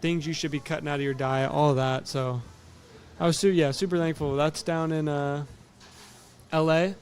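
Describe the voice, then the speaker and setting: A man's voice: fairly high pitch, fairly even intonation, normal speed, a little mellow. Young male guest from California, light voice, humble and easygoing, trails off with yeahs. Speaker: amateur MMA fighter, guest. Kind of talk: casual podcast interview.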